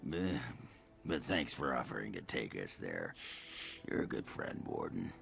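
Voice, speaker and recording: Casting: rough, bashful dwarf, extremely gravelly bass, slow and halting, fantasy game. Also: male voice, big pitch swings, fairly bright tone, faint music behind, exaggerated voice. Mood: crude, fond. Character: gruff, crude, bashful male dwarf warrior. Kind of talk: video game character dialogue.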